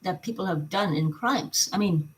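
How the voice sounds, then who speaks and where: A woman giving informal opinion commentary as a vlog host, speaking in a halting but emphatic way. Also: lowish voice.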